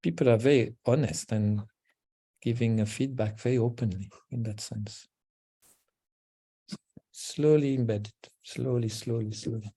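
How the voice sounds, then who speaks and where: A man speaking gently and slowly as a parenting coach in a webinar talk over a video call, often repeating words softly. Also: mid-range voice, breathy edge.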